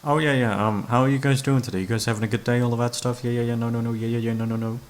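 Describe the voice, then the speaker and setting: A man's voice: medium pitch, flat delivery, rapid-fire. A young man chatting casually to his listeners, rambling in a hesitant, sweary, self-deprecating way. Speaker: voice actor, channel creator. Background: soft background music.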